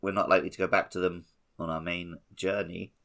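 A man co-hosting a casual podcast, explaining things in a measured, matter-of-fact way. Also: sits low, flat delivery, creaky, fairly bright tone. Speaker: podcast co-host.

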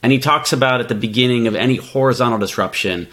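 A man's voice: medium pitch, quick pace, fairly bright tone. Man explaining ideas in casual podcast talk. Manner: conversational and analytical, thinking aloud with examples. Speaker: podcast co-host, venture capitalist. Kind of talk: casual, explanatory tech discussion.

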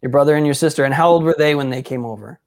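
A man's voice: fairly high pitch, rapid-fire, darkish tone. A man hosting a casual sports interview, upbeat and effusive in his praise of his guest. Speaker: interview host.

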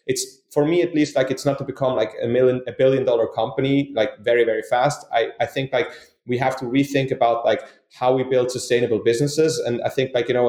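A man from Switzerland answers interview questions at a middling pitch. He rambles and restarts, with many fillers. The recording has a roomy echo. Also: a little mellow. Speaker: guest, company co-founder. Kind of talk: casual podcast interview answers.

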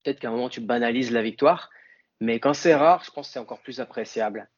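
A man's voice: medium pitch, fast talker, slightly rough, highs cut off. A man with a northern French accent, a guest on a casual podcast chat, talking hesitantly with fillers and restarts. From northern France. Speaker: podcast guest, team fan.

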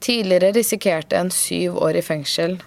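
Low, creaky female narrator. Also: moderate pace, darkish tone. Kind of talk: scripted factual narration.

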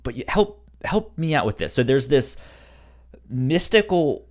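A man co-hosting a podcast, discussing a TV show casually in a halting way as he feels out his words. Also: mid-range voice, big pitch swings. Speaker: podcast co-host.

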